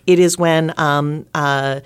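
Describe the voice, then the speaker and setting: Slow, low-voiced woman telling stories. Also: smooth. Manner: expressive, acts out lines while storytelling. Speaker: theater playwright and adapter, interview guest. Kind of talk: conversational interview answer.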